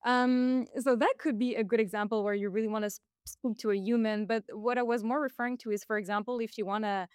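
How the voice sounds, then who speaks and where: A woman's voice: on the high side, fairly even intonation, fast talker, clear, resonant tone, no echo, studio quality. A woman from Quebec with a Quebec French accent, speaking English as a second language, explaining things as an interview guest with many fillers and restarts. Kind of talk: casual interview.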